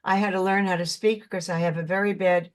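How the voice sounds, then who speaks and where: A woman's voice: on the low side, steady tone, quick pace. An older actress with a New York accent, talking earnestly in an interview and often repeating words as she searches for them. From Brooklyn, New York. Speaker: actress guest. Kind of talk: casual interview chat.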